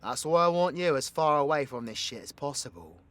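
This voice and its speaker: A man's voice: a little high, expressive, fairly quick, a bit bright. A British man playing a cocky, foul-mouthed but protective supervillain in an audio roleplay, with brash, teasing, slangy delivery. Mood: defiant, boastful. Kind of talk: audio roleplay acting addressed to the listener.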